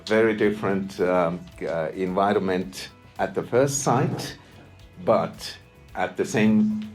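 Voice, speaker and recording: A deep-voiced man with a Finnish accent gives a formal speech, pausing often and repeating words as he searches for them. Faint music plays behind him. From Finland. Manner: halting, many ums and repeated words. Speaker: EU delegation official giving a speech. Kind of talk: hesitant formal launch speech.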